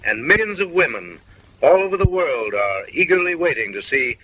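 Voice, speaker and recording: A man's voice: a little high, very animated, moderate pace, pushed and bright, highs cut off, slight background noise. A man narrating a comic short film in voice-over, mock-refined and wry, lecturing ladies with teasing, suggestive asides.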